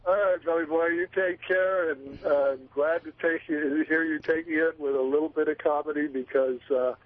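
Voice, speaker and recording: A man's voice: a little high, steady tone, moderate pace. A man calling in to a radio show, talking in a folksy, opinionated way over the phone. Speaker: caller. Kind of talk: casual phone-in chat. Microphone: telephone. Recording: tinny phone line.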